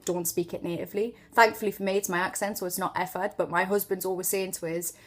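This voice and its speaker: A woman's voice: fairly low pitch, fairly even intonation, fast talker. Chatty woman with a Geordie accent. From Newcastle upon Tyne, northeast England. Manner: chatty, rambling, demonstrates words by drawing them out. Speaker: accent tutor and video host. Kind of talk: unscripted casual tutorial.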